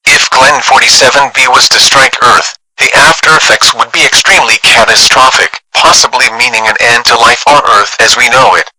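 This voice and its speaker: Grave male government space official, played by an actor, solemn scripted address, measured and formal, strikingly sharp, bright tone. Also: mid-range voice, fairly even intonation, poor audio. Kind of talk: scripted emergency announcement.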